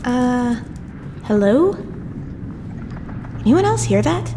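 A woman voicing an excitable teenage girl hero who talks with wide-eyed eagerness. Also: a little high, slow pace, in a large hall, background noise, full character voice. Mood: excited.

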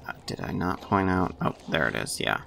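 Guy doing offhand game walkthrough, super deep and full of vocal fry. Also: sing-song, moderate pace, fairly bright tone, a little hiss or hum. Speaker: gamer, walkthrough commentator. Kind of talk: casual gameplay commentary.

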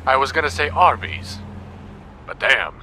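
Actor as a sharp, forceful, crude male boss. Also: medium pitch, very animated, unhurried, slight background noise, exaggerated voice. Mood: crude, smug. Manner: vulgar, brash, blunt. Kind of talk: audio drama acting.

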